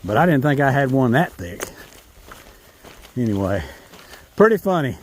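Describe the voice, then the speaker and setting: A man's voice: mid-range voice, big pitch swings, moderate pace. Folksy North Carolina man hiking outdoors. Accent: North Carolina Southern US. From North Carolina, USA. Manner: rambling, folksy, self-correcting. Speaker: hiking vlogger. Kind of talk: casual walking vlog to camera. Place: outdoors on a rural trail in the rain.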